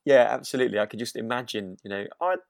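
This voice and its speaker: A man co-hosting a podcast, chatting casually and feeling his way through thoughts with halting, repeated phrases. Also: mid-range voice, gravelly, dry recording, clean studio sound. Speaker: podcast co-host. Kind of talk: relaxed casual chat.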